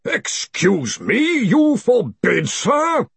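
Bellowing, pompous old wizard chancellor. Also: male voice, sits high, sing-song, moderate pace, pushed and bright, slightly rough, no echo, pitched above the performer's own voice, full character voice. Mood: furious. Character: blustering, furious old university arch-chancellor, pompous wizard.

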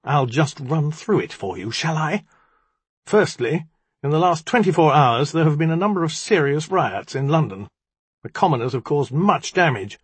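A man's voice: on the high side, expressive, normal speed, breathy edge, no room sound, pitched above the performer's own voice. A man voicing a bitter, self-pitying young magician, haughty and accusing, as audiobook dialogue. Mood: cold, formal.

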